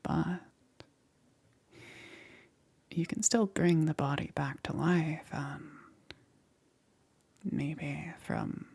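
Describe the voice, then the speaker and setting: Casting: a woman plays soft-spoken witch necromancer for ASMR roleplay; gravelly fry, husky half-whisper, calm and eerily gentle. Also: low voice, exaggerated voice. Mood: musing. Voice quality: breathy, often dropping into whisper. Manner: unhurried, halting with pauses, soothing.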